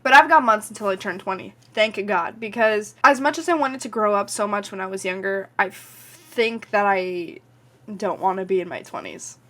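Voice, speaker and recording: Young Canadian woman, nineteen, casual rambling vlog talk, lots of filler words, mid-pitched voice. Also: moderate pace, pushed and bright. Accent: Canadian English. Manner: chatty, frequent "like" and "um" fillers. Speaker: vlogger and podcast host.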